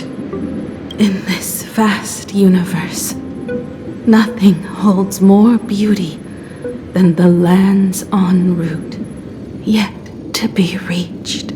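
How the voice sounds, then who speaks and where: An actress voicing a composed, wistful but quietly defiant woman in a video game, hushed, breathy and measured. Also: mid-range voice, fairly even intonation. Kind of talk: dramatic video game voice acting. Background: background music.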